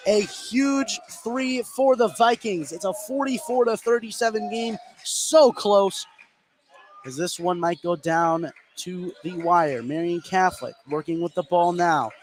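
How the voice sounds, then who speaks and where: An American man doing live basketball play-by-play, getting excited and stretching his words on big plays. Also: high-pitched, measured pace, soft background music. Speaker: sports play-by-play announcer. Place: indoor high school gym. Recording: clear gym noise behind the voice. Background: gymnasium ambience.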